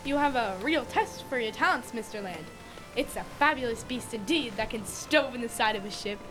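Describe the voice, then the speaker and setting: A woman's voice: a little high, expressive, normal speed, close to an everyday voice. A teenage girl playing an earnest, curious male professor narrating his sea voyage in a youth radio play. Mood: polite, curious. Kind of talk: youth radio theatre acting. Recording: radio play with loud music underneath.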